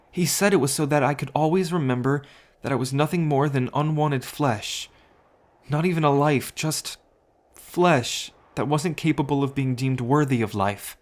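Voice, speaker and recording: Man voicing a hesitant, high young merman. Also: moderate pace, close to an everyday voice. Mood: wounded, subdued. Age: young adult. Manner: hesitant, stammering. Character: bewildered young merman turned half-dragon, timid. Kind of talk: ASMR story roleplay acting.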